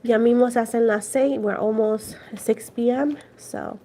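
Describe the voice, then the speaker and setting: A woman's voice: on the high side, fairly even intonation, normal speed, warm tone. A woman with a Puerto Rican accent hosting a casual tarot livestream, chatting with viewers and switching between Spanish and English. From Puerto Rico. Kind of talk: casual livestream chat reading viewer comments.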